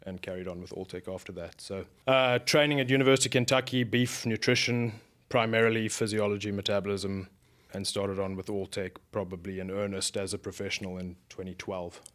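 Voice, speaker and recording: South African man, low voice. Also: a bit bright. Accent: South African, softened by years in America. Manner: thoughtful, explains at length with frequent fillers. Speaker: guest, beef research director. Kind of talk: casual podcast interview.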